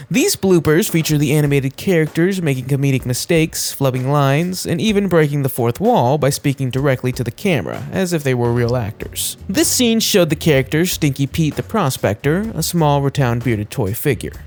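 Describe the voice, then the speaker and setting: Higher-pitched, lively male narrator over music. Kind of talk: scripted explainer narration.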